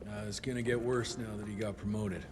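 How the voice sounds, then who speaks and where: A man's voice: on the low side, steady tone, fairly quick, noisy background, natural voice. An actor playing a blunt, practical male vampire-hunter partner who talks plainly, with hesitations. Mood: worried. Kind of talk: video game cutscene acting. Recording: game audio with music behind.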